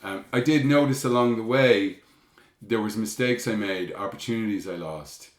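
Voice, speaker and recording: A man in his fifties with a Dublin Irish accent and a big, loud voice, chatting as a guest in an animated, rambling way. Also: lowish voice, normal speed, roomy sound. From Dublin, Ireland. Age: 50s. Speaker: guest musician. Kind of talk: casual chat.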